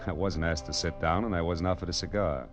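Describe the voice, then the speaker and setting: A man's voice: sits low, fairly even intonation, fairly quick, soft background music, lightly acted. An actor playing a dry, wisecracking hard-boiled male detective in a vintage radio drama. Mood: wry narrating. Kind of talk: 1940s radio detective narration and acting. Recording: dull old radio recording.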